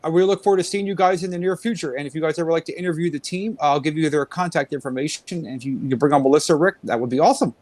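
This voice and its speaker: A man's voice: fairly high pitch, very fast, hardly any pauses, darkish tone. A man who is a guest paranormal investigator, chatting casually and rambling with lots of fillers. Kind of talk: casual chat.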